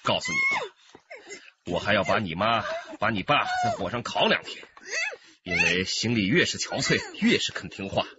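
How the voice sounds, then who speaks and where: Male kidnapper, mock-tender and threatening, very animated delivery, muffled noisy radio-play track. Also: on the low side, normal speed, raised voice. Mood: menacing. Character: sinister male kidnapper, sing-song coaxing turning menacing. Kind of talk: radio drama acting.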